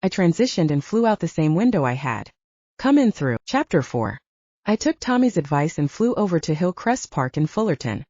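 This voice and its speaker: A woman's voice: on the low side, sing-song, moderate pace, dark tone, dry recording. A woman reading scripted first-person fiction as an audiobook narrator, on a slightly muffled recording.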